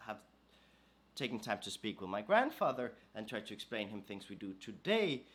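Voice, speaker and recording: A man with a hoarse, cold-scratched voice hosts a podcast in an easy, conversational way. He is neither high nor low in pitch, and he stumbles through fillers and repeated words as he gathers his thoughts. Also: fairly bright tone. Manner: hesitant, many fillers and restarts. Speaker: podcast host. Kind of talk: casual podcast talk.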